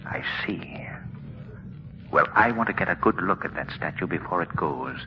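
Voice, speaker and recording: An actor playing a calm, commanding, menacing invisible vigilante in an old radio drama, speaking with ominous deliberation. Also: male voice, deep voice, moderate pace. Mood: calm menace. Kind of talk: 1940s radio acting. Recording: muffled, noisy 1940s radio recording.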